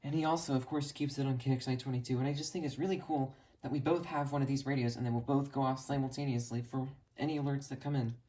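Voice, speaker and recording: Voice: medium pitch, steady tone, quick pace, few pauses, dark tone, poor audio. A person with an earnest, rambling, enthusiastic way of talking, giving a casual tour of a hobby collection. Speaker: hobbyist video creator showing a radio collection.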